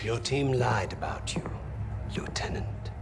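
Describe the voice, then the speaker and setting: An actor playing a sinister, volatile Nazi interrogator in a war game, with deliberate menace that can break into shouting. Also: male voice, medium pitch, vocal fry, whispered. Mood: quiet menace. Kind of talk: cinematic war game acting. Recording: dramatic music behind the voice.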